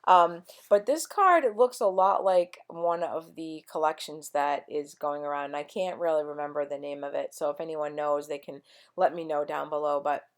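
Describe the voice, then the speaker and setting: A woman with a voice she says is going hoarse, chatting casually through a scrapbooking tutorial with lots of ums. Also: sits low, moderate pace. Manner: rambling, chatty, many ums. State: losing her voice. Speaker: scrapbooking vlogger. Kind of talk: casual craft tutorial chat.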